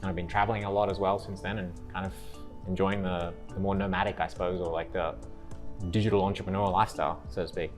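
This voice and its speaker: Low-voiced Australian man, easygoing. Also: fast talker, faint music behind. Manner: relaxed, rambling with frequent restarts and laughs. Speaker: interview guest. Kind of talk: casual interview chat.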